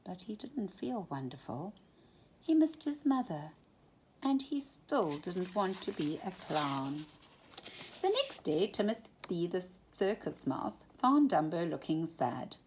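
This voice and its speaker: A woman reading a children's storybook aloud at bedtime in an expressive, animated way. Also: fairly low pitch, slow pace, husky, low-quality recording.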